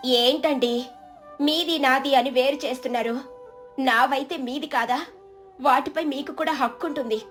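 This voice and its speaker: A woman voicing a gentle, devoted wife who speaks in a reassuring, coaxing way. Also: high-pitched, steady tone, moderate pace, pauses often, faint music behind. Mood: concerned, coaxing. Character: gentle, devoted wife comforting her worried husband.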